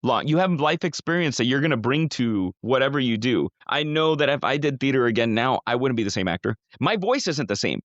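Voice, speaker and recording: A man's voice: mid-range voice, lively intonation, brisk, hardly any pauses, no echo, lo-fi sound. A middle-aged man co-hosting a podcast, chatting casually, rambling with restarts and casual swearing. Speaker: podcast co-host. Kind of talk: casual chat.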